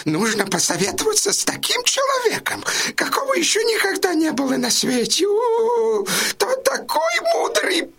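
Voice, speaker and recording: An actor playing a wily, pleading, deferential go-between in a theatrical audio drama, exclaiming and repeating himself. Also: male voice, extremely high pitch, wildly swooping pitch, moderate pace, gravelly, fairly bright tone, exaggerated voice. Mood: excitable pleading. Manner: wheedling, exclamatory, repetitive.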